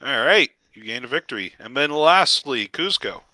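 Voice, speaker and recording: Bright, dry-voiced man running a game. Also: medium pitch, normal speed, dry recording. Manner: relaxed, joking, laughs often. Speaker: game master. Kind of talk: running an online tabletop game.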